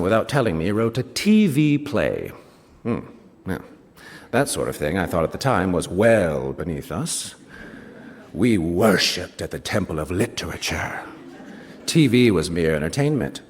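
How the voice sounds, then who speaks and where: Deep-voiced, wry British novelist in hall. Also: male voice. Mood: dryly disdainful. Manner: expressive, wry, savouring phrases with small asides. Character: wry male British novelist recounting a rivalry with his friend. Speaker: actor reading a short story aloud. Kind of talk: dramatic first-person literary reading before an audience. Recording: reverberant hall, stage reading.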